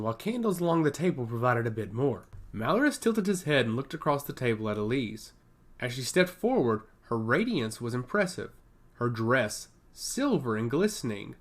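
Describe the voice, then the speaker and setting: Man narrating with a lively, swooping pitch. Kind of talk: scripted fantasy audio story narration.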